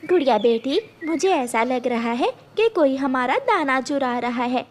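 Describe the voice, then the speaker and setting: A clever mother bird from a cartoon, acted by a woman, determined and measured. Her voice is high and expressive, and she takes her words slowly. Slight noise is on the recording. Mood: suspicious. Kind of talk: animated children's story dialogue.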